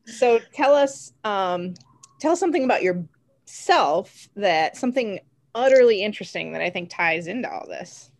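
A woman's voice: medium pitch, animated, moderate pace. A woman co-hosting a podcast, asking questions and reacting with eager enthusiasm. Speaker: podcast co-host. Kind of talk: casual interview chat.

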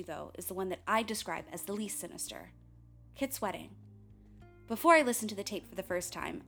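A woman's voice: medium pitch, natural voice. Actress as defiant young woman over music. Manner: intense, sharp-tongued. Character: defiant, protective young woman, a sailor investigating family tapes. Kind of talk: radio play acting and narration.

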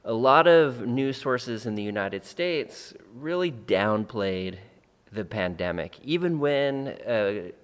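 A man's voice: medium pitch, expressive, slow pace, smooth, big-room sound. A man hosting a podcast, talking reflectively and repeating phrases for emphasis, then interviewing a guest. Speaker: podcast host. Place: Central Oregon.

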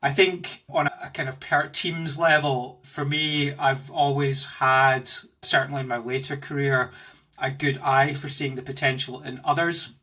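A man in his forties with a Glasgow accent talks with lively enthusiasm from his home office. His voice is bright and carries a slightly husky edge. He tells long, rambling stories about his career in the style of a podcast interview. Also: mid-range voice, unhurried. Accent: Glaswegian. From Scotland. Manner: animated, enthusiastic storyteller. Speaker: guest, tech executive.

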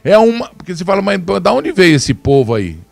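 A man speaks in a fairly high voice, close to the mic in a dry room. His delivery is emphatic and opinionated, and he slows down to stress key words. Faint music sits under his voice. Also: sing-song, vocal fry, dry recording. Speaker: commentator presenting video clips. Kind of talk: opinionated political commentary.

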